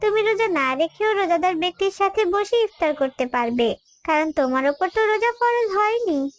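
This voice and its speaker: A performer voicing an earnest, very high-pitched little girl. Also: lively intonation, normal speed. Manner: earnest, polite, gently instructive. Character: polite, devout, helpful little girl in an Islamic cartoon. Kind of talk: cartoon dialogue.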